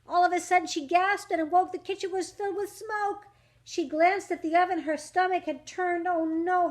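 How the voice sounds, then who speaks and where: A woman reading a rhyming picture book aloud to young children, with dramatic emphasis, drawn-out words and questions to her listeners. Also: very high voice. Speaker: children's story reader.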